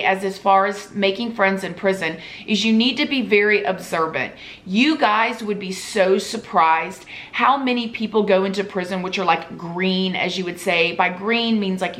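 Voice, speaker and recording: Chatty young woman, voice going hoarse. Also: mid-range voice, a bit bright. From Florida. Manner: chatty, earnest, pleading with viewers. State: losing her voice, sore throat. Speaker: YouTube vlogger. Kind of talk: casual chat to camera.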